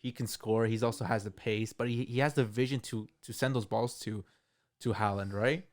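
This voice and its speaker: A man's voice: lowish voice, fairly even intonation, moderate pace, a little mellow. A young man co-hosting a football podcast, debating casually in slang and repeating his points for emphasis. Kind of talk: casual sports debate among friends.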